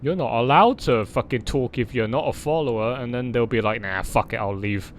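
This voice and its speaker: A man's voice: mid-range voice, fairly quick, slight background noise. A man chatting casually on a game livestream, blunt and mocking, swearing often. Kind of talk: casual livestream chat with gaming commentary.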